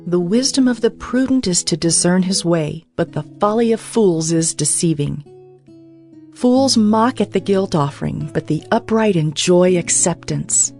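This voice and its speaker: A woman with a fairly low voice reads scripture as dramatized audio Bible narration. Her delivery is measured and declarative, with faint music behind her. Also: expressive, darkish tone.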